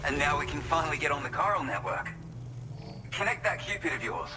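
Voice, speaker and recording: Actor as a hearty outpost worker, highish voice. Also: male voice, animated, a little fast, lightly acted. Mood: amazed, grateful. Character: amazed, thankful, rough-spoken male outpost worker. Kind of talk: video game cutscene acting. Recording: loud music over the voice.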